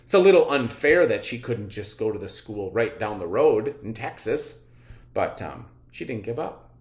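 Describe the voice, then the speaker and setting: Echoey male teacher reading aloud, animated pitch. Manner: instructive, pauses to wonder aloud and question listeners. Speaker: elementary school teacher leading a reading lesson. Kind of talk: read-aloud lesson with think-aloud commentary. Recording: roomy, echoing sound.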